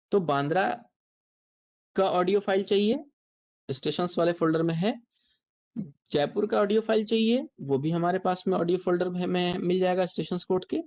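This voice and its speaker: An instructor with an Indian accent giving a software tutorial lecture, explaining step by step and repeating points. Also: medium pitch.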